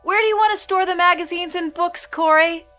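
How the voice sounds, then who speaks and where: A gentle android woman in a radio play, childlike and compliant. Her lines are simple and questioning, full of devotion, with faint music underneath. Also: extremely high pitch, brisk. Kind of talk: dramatic radio acting.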